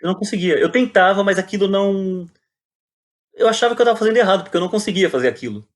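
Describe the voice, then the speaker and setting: A man's voice: high voice, racing. Brazilian man, reflective guest in conversation. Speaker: playwright and poet guest. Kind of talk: casual reflective interview talk.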